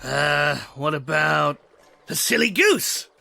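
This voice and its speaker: An actor playing a cheeky, eager pirate crewmate with a playful, excitable manner in an audio drama. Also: male voice, a little high, takes it slowly, gravelly, faint music behind, exaggerated voice.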